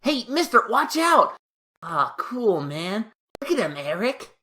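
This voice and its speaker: Brash street kid played by a woman: lively pitch, slangy, argumentative comic-book boy. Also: takes it slowly, heavily acted. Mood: excited. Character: cocky, argumentative young boy, superhero fan. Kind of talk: comic dub character acting.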